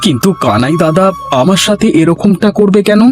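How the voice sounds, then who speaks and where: A man voicing a humble, honest village fisherman husband in an animated folk tale, with a plaintive, earnest, homely manner. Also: high-pitched, fairly quick. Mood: puzzled, resigned. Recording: music behind the voice.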